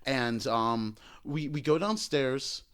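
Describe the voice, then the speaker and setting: A man's voice: mid-range voice, slow, slight background noise. A man co-hosting a podcast, casually telling a personal story with laughter and acted-out quotes. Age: adult. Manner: animated, laughing, acts out quotes. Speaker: podcast co-host.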